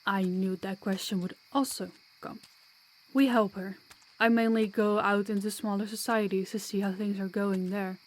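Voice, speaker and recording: A woman's voice: mid-range voice, fairly even intonation, moderate pace, close to an everyday voice, rough recording. Female vampire guide voiced by a woman, mid-range voice, chatty, kind and teasing, for an audio roleplay. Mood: earnest, reassuring. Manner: chatty, reassuring, playful.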